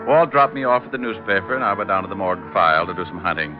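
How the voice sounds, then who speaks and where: An actor playing a cocky, glib private detective who teases and narrates wryly, in 1940s radio drama style. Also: male voice, sits low, fairly even intonation, rapid-fire, lightly acted. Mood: dry narration. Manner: glib, wry, teasing. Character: wisecracking cocky male private detective, breezy and flirtatious. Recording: muffled old radio drama, music behind.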